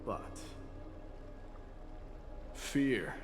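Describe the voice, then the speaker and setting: An actor playing a stern, solemn warrior of iron will in a video game, speaking gravely and deliberately. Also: male voice, noisy background. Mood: grave, challenging. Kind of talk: dramatic video game voice acting. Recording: echoing hall reverb, music underneath. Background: loud music.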